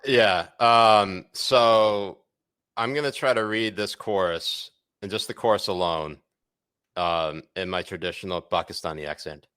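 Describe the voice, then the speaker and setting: A man's voice: low-pitched, a little slow, fairly bright tone, smooth, dry recording, clean studio sound. A man co-hosting a podcast, chatting casually and irreverently about the news with crude jokes. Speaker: podcast co-host. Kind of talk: casual comedic news chat.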